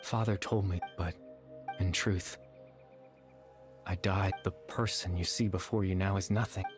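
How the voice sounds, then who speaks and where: An actor playing a gentle, sorrowful young man in a video game, speaking softly and hesitantly with wistful remorse. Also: sits low, steady tone, measured pace, music in the background. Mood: solemn, weary. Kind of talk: dramatic video game dialogue.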